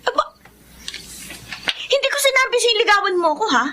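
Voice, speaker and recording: High-voiced stubborn young Filipino mother. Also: very animated, a little fast, bright, forceful tone, slight background noise, exaggerated voice. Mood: flustered, firm. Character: steadfast young mother clinging to her missing husband, stubborn. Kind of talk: Filipino radio drama acting.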